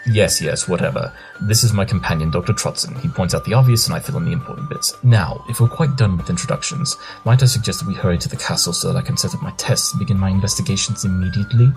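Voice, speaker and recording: Man voicing arrogant detective stallion, low gravelly voice, rapid-fire condescension, cartoon audio drama. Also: soft background music, poor audio. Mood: brisk, dismissive. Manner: pompous, rapid-fire lecturing. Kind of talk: fan audio drama acting.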